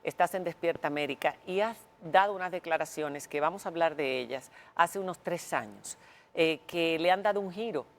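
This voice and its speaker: A woman hosting a TV interview, asking questions in a measured, reflective way in a studio. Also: low-pitched. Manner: measured, reflective, rephrases as she goes. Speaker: TV show host.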